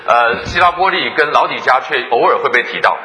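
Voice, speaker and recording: A man's voice: mid-range voice, lively intonation, pushed and bright, low-quality recording. A man who introduces the guest pastor, then interprets the sermon phrase by phrase. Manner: rapid interpreting after each phrase. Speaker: church host and interpreter.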